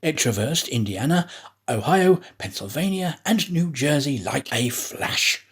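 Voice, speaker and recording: A man reading a classic adventure story aloud as an audiobook narrator, with a deliberate, dramatic storytelling delivery. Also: a little high, expressive, husky. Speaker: audiobook reader, actor.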